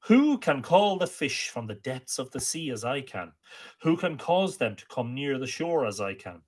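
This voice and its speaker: A man's voice: medium pitch, big pitch swings, normal speed, pitched above the performer's own voice. A man reciting the boastful chant of an ancient male druid poet, in a declaiming, incantatory way.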